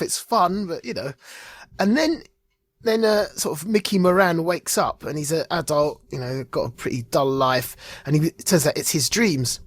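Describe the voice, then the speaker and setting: Male podcast host, husky, dry close sound, rambling enthusiastic casual chat. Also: on the high side, no room sound. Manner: rambling, enthusiastic, searching for words aloud. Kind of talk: casual chat about comics.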